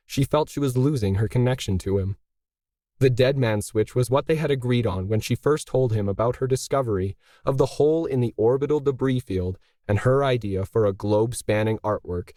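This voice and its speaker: A man's voice: mid-range voice, dark tone, no room sound, studio quality. A man narrating a scripted science fiction story as an audiobook reader.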